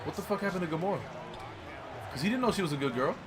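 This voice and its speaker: A young man in casual gaming chat, slangy and excitable, swearing freely. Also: sits high, rapid-fire, crowd noise. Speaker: gamer reviewing a film with a friend. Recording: noisy, clicks and game sounds. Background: basketball game sounds, clicks.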